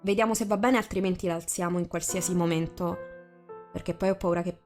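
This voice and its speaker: A woman's voice: on the low side, steady tone, quick pace, darkish tone. A woman hosting a live stream, chatting casually and informally, now and then slipping in English phrases. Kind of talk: casual chat. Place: online voice call on a live stream. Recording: music behind the voice.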